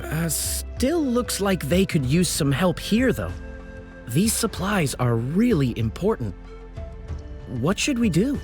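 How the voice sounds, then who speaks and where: An actor playing a helpful, animated young male fighter in a video game. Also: high-pitched, slow pace, faint music behind, exaggerated voice. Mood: hesitant.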